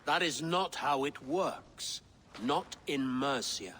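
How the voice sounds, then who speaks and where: A man's voice: medium pitch, slow pace, fairly bright tone, slight background noise. A man playing a solemn, aggrieved middle-aged claimant to a crown in a video game, speaking formally and insistently. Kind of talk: dramatic video game acting.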